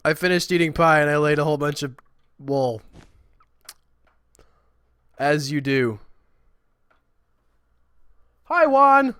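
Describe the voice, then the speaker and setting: A man chatting casually while he streams a building game, rambling and self-deprecating, with a high, squeaky voice. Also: lively intonation, takes it slowly, pauses often, a bit bright, clean tone, no echo. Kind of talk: casual livestream chat.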